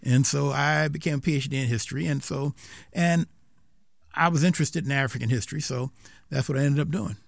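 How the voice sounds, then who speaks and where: Older man, halting, rambling storyteller. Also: fairly high pitch, gravelly, no room sound, studio quality. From South Carolina. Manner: rambling, halting, with many restarts and fillers. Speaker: retiring history professor, guest. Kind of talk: unscripted interview.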